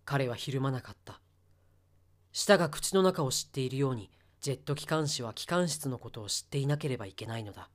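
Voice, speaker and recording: A man reading scripted story narration for an audio drama. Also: medium pitch.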